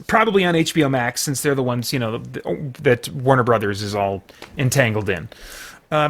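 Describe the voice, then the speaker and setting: Higher-pitched middle-aged man, rambling review. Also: a bit bright. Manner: rambling, informal, thinking aloud. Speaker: reviewer and show host. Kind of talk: casual, opinionated film review commentary.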